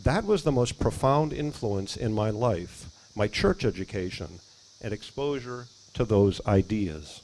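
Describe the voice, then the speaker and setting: A man speaking at an outdoor ribbon cutting, reading measured, prepared remarks about his family. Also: fairly low pitch, animated, darkish tone, poor audio. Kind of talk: prepared ceremony speech. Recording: faint outdoor noise with crickets.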